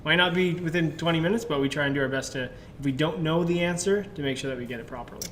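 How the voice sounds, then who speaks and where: A man co-hosting a live question-and-answer chat, explaining things in a quick, chatty way. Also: on the high side, fairly bright tone, a little hiss or hum. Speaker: content strategist and co-host.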